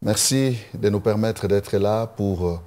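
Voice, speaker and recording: Deep-voiced pastor hosting a TV show. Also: male voice, unhurried, darkish tone. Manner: formal, churchly phrasing. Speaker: talk show host. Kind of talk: opening prayer and interview questions. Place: television studio.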